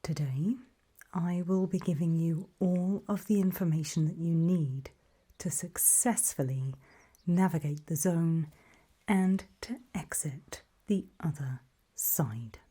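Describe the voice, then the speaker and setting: A woman playing a calm, faintly sinister official in a whispered ASMR roleplay briefing, breathy, measured and politely ominous. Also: fairly low pitch. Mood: polite, composed.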